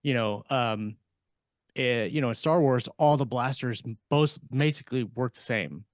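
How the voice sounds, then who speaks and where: A man co-hosting a podcast in casual discussion, explaining things haltingly as he thinks aloud. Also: medium pitch, dry recording, clean studio sound. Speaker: podcast co-host and fiction writer.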